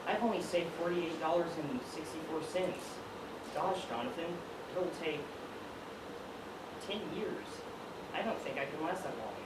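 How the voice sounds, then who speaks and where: A man's voice: on the high side, a little slow, long pauses, far from the mic, lightly acted. A teenage male student playing a nervous, doubtful young factory worker in a stage play, with anxious, exclamatory delivery. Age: teens. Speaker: student actor. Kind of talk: high school stage play acting. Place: stage in a large room, distant mic. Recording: echoey, noisy stage recording from afar.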